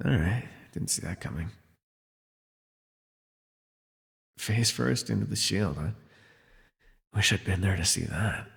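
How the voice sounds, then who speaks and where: A man playing a jaded, wry imprisoned telepath supervillain in an ASMR audio roleplay, speaking in a sardonic, weary, gravelly way with sighs. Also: on the low side, in a large hall. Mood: teasing, curious.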